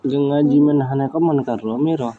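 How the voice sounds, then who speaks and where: A man's voice: medium pitch, moderate pace, dark tone. A man chatting casually on the phone in a drowsy, laid-back manner. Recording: phone call with faint background noise.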